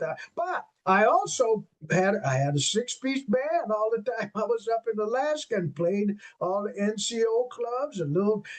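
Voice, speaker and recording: Older man, high voice, lively storyteller. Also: poor audio. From western New York. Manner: animated, acts out quoted dialogue, peppers speech with "man". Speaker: guest jazz saxophonist. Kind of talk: storytelling in an interview.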